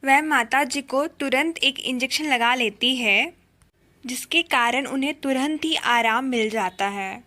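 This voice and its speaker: A woman's voice: high voice, a little slow, sharp tone. A woman reading a scripted children's story as its narrator.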